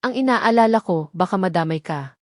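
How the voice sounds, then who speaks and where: A woman's voice: fairly low pitch, expressive, a little fast, a little mellow, no room sound, natural voice. Woman doing determined rich husband in audio drama, earnest, firm, reassuring, dry sound. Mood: concerned. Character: Miguel, determined protective billionaire husband.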